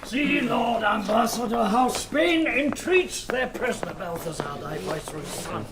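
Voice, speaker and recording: A man's voice: extremely high pitch, lively intonation, measured pace, background noise, heavily acted. An actor playing a gracious, jovial King of Spain, declaiming grandly in a classical radio drama. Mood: gracious, stately.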